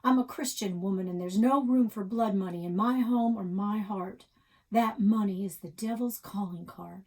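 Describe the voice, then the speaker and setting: A woman's voice: mid-range voice, moderate pace, darkish tone, natural voice. A woman storyteller voicing a tiny, devout, fearless mother who speaks firmly and defiantly in a read-aloud story. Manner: firm, defiant, emphatic.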